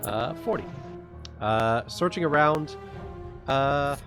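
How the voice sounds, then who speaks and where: A man acting as game master, narrating a tabletop game casually in a halting way. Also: medium pitch, animated. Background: loud music.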